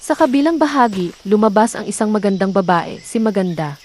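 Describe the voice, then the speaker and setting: A woman narrating a folktale from a script in a dramatic, deliberate storytelling manner. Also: medium pitch, expressive, a little mellow. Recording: clear background noise, nature sound effects.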